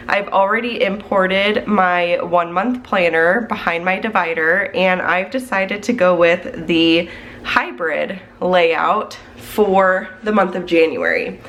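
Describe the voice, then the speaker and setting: A woman's voice: fairly low pitch, a bit bright. Congested woman chatting through a plan-with-me. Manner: chatty, thinking aloud as she works. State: congested from the flu. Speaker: planning channel host. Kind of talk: casual plan-with-me voiceover.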